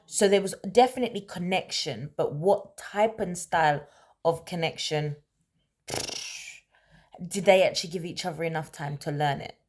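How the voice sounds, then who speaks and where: A woman telling a story in a casual, conversational way, animated and emphatic, often checking in with rhetorical questions. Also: lowish voice, normal speed. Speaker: storyteller, host of a story-time series.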